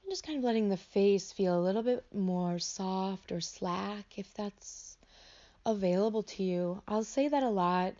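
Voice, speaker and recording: A woman teaching a guided meditation in an unscripted, gentle way, offering instructions as tentative invitations. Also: medium pitch, lively intonation, a little slow, muffled recording. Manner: gentle, hesitant, invitational phrasing.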